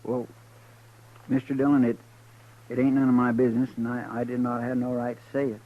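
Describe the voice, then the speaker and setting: An actor playing a simple, eager frontier sidekick with a stammering, deferential drawl, in a 1950s radio drama. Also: male voice, medium pitch, little pitch movement, a little fast, natural voice. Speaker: deputy's sidekick. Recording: dull old radio recording with faint hiss.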